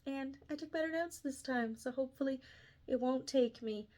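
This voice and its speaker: A woman's voice: sits high, normal speed, a little mellow. A woman speaking informally as an author in a casual vlog, in a rambling, enthusiastic way. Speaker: author presenting own book series. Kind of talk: casual vlog chat to viewers.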